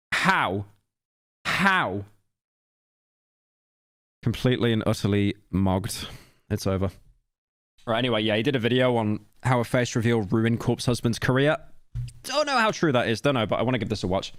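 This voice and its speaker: A man in his late twenties streaming a reaction video, talking casually and rambling, with self-deprecating asides and sudden loud outbursts. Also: medium pitch, big pitch swings, moderate pace, pauses often, no echo, clean studio sound. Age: late 20s.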